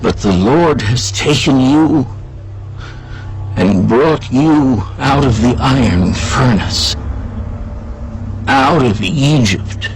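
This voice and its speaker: A man playing a solemn, aged prophet exhorting his people in a grave, deliberate way, as in a dramatized scripture reading. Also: medium pitch, animated, noisy background. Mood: urgent warning.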